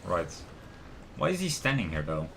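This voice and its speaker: A man livestreaming a video game, talking casually and haltingly and reading game text aloud. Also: sits low, very animated, vocal fry, a little hiss or hum. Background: soft background music.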